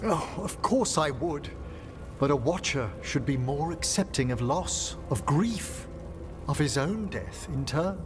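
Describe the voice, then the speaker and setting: An actor playing a refined, earnest necromancer scholar in a fantasy game, eloquent and formal with a tender manner. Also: male voice, a little high, animated, a little slow, over music. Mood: wistful.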